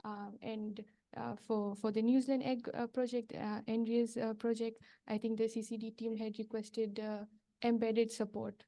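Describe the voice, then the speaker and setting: A woman's voice: a little high, little pitch movement, moderate pace, warm tone. A woman from Fiji, a project coordinator, giving a workshop talk without slides in a hesitant way with many fillers.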